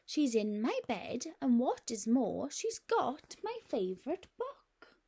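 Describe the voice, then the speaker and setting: A woman doing a squeaky little bear cub. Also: huge pitch swings, slow, mellow, husky, exaggerated voice. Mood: indignant. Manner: high squeaky child-like voice. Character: small young bear cub, upset and indignant.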